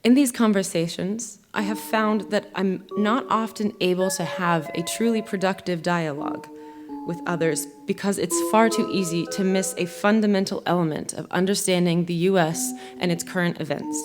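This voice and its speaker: A young American woman giving a measured, earnest spoken essay from a theater stage, as music plays behind her. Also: fairly low pitch. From United States. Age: young adult. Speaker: violinist and speaker.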